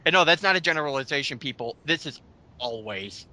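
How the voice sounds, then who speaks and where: Man, slightly high pitch, sharp forceful tone, blunt scornful ranting, casual profane commentary. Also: expressive, a little fast. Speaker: commentary host.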